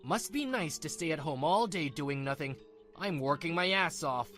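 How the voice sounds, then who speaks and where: An actor playing a domineering, short-tempered working husband in a manga dub, talking in a scornful, blaming way. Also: male voice, fairly high pitch, animated, normal speed, fairly bright tone, soft background music. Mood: angry, blaming.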